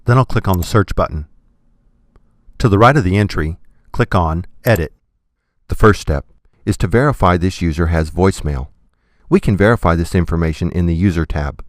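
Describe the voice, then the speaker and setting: A man giving scripted, step-by-step software tutorial narration in a methodical, instructional manner. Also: deep voice, normal speed, long pauses, no room sound, studio quality.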